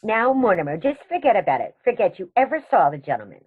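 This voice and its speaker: An actress playing a genteel elderly aunt in a comedy radio play, serenely matter-of-fact and gently chiding. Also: female voice, medium pitch, very animated, fast, husky, phone-quality sound. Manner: prim, gently chiding, unruffled. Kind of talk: stage comedy radio theatre acting.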